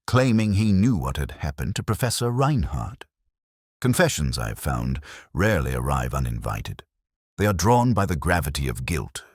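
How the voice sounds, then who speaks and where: A man narrating as a brooding, reflective English detective, in measured, literary, melancholy first-person mystery storytelling. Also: very deep voice, husky, dry recording, studio quality, full character voice.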